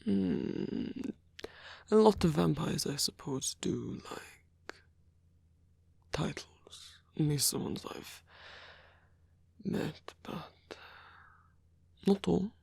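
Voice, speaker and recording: A lonely old male vampire, played by a man, murmurs in an intimate ASMR roleplay. His voice is husky and whispery, and he picks his words slowly and hesitantly. He is gentle, wistful and formally polite. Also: a little high, lively intonation, no room sound, exaggerated voice. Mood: gentle, musing. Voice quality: breathy, husky, gravelly whisper. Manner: hesitant, slow, softly formal.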